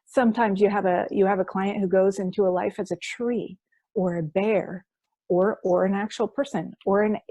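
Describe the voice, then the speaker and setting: A woman's voice: medium pitch, fairly even intonation, moderate pace, warm tone, no room sound. A woman who works as a hypnosis practitioner, explaining her sessions as a guest in an interview, enthusiastic and often restarting her phrases.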